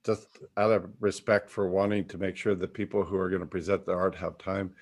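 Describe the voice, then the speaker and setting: Male host, lowish voice, brisk meeting moderator. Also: flat delivery, darkish tone. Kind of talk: moderating online introductions. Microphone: video call.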